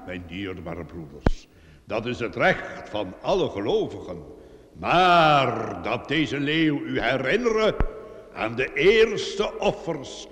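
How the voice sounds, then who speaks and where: An actor playing a solemn, unctuous village priest preaching in a radio play, measured and sanctimonious, in long reverb. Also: male voice, fairly high pitch, fairly bright tone, slight background noise, heavily acted. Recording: long echoing church-like reverb.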